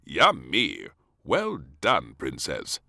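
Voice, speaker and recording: A man voicing a gentle, doting fatherly king in a children's storybook. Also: rumbling low, huge pitch swings, very slow, creaky, no room sound, studio quality, full character voice. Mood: pleased.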